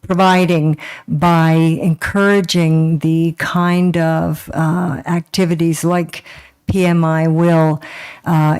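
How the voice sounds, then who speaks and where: A woman councillor giving a hesitant report at a council meeting, full of fillers and pauses. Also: deep voice, a little mellow, clean tone. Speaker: town councillor.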